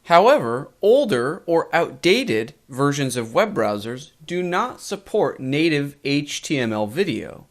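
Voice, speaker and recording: A man narrating a scripted tutorial as a course instructor, measured and clearly enunciated. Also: a little high. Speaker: web design course instructor.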